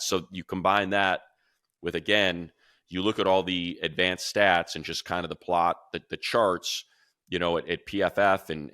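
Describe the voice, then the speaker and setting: A man with a deep voice, recorded close and dry, gives unscripted football analysis. He is conversational and opinionated, working through his thoughts out loud like a sports radio regular. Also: vocal fry, no echo. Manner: conversational, opinionated, thinking aloud. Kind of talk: casual unscripted football draft commentary.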